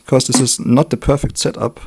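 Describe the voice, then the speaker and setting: A man giving an unscripted hands-on electronics demonstration as a hobbyist, thinking aloud in short, halting remarks. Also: medium pitch, steady tone, vocal fry, a little mellow, breathy edge.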